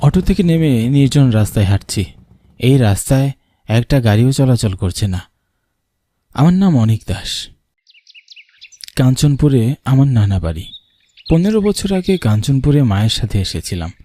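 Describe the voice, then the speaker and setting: A man's voice: mid-range voice, big pitch swings, moderate pace, long pauses, warm tone, close to an everyday voice. A man playing an earnest young man who narrates a cartoon ghost story in the first person. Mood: wondering, uneasy. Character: earnest young man narrating his eerie village journey.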